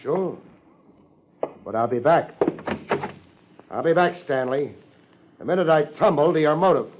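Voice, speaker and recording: A man's voice: on the high side, expressive, a little slow, background noise. A man playing a wry, laid-back but tough private detective in 1950s radio drama, speaking in wisecracking slang. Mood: sardonic. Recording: muffled old radio recording, music behind.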